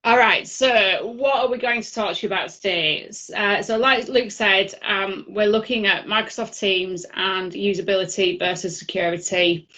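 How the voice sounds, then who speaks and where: A woman's voice: medium pitch, steady tone, moderate pace, few pauses, pushed and bright. A woman with a Yorkshire accent presenting at an online user group, chatty and full of fillers. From Yorkshire, England. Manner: chatty, filler-heavy delivery. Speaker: conference speaker. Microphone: video call. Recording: dull lo-fi video call audio.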